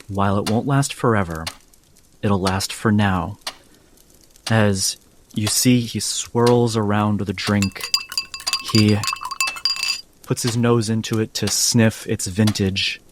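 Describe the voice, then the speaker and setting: A man narrating a tabletop game as game master, deliberate and dramatic, leaving suspenseful pauses. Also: on the low side, steady tone, a little hiss or hum. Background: background music.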